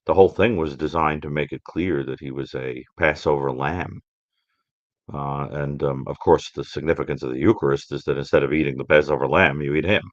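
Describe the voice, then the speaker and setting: A man speaking reflectively as a guest expert in a live-stream conversation, musing and emphatic, halting and repeating phrases. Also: extremely low pitch, fairly even intonation, no echo, dull audio.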